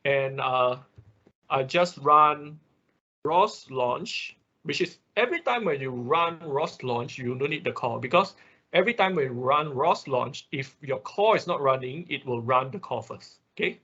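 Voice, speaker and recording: A man's voice: medium pitch, expressive, a little slow, bright, forceful tone, dull audio. A man giving an informal step-by-step tutorial as instructor of an online class, often checking in as he explains. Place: online class, screen-share voice-over.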